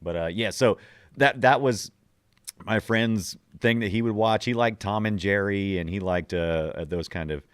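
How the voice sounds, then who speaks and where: A man in his forties hosting a podcast, chatting casually and nostalgically and telling stories in an animated way. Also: lowish voice, normal speed, clean tone, dry recording, clean studio sound. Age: 40s. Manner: animated storytelling with acted-out quotes. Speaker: podcast host.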